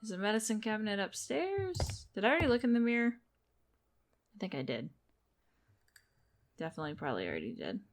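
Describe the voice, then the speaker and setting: A woman's voice: mid-range voice, big pitch swings, fairly quick, pauses often. A woman giving casual playthrough commentary, thinking aloud as she plays. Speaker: game streamer.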